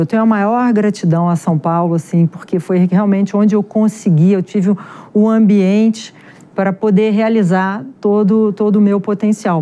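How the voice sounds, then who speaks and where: A middle-aged woman from Rio, speaking with a clear carioca accent. Her voice is warm and mellow at a middling pitch. She answers interview questions in a lively, chatty way, with plenty of restarts and fillers. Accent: Carioca Portuguese. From Rio de Janeiro, Brazil. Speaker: genetics researcher, guest.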